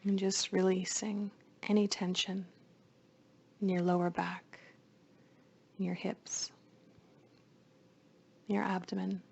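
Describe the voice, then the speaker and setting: A woman leading a guided meditation in a soothing, hushed voice, with phrases often trailing into breath. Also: mid-range voice, monotone, slow pace, vocal fry, long pauses, slightly rough.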